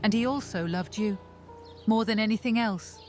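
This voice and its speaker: An enigmatic female vampire, played by a woman in a cozy horror audio drama. She is motherly and coaxing, with a measured, persuasive delivery at a medium pitch, set over music. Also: darkish tone, close to an everyday voice. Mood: tender. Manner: measured, deliberate, soothing and persuasive. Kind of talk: narrative fantasy horror audio roleplay.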